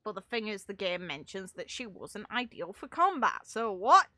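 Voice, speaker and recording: A woman with a bright, forceful voice reads story text aloud in a casual way. She breaks off for sarcastic, off-the-cuff comments and sometimes stumbles over her words. The sound is dry, with no room echo. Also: medium pitch, sing-song, normal speed. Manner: wry, sarcastic asides, stumbles while reading. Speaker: streamer reading and reacting to game lore.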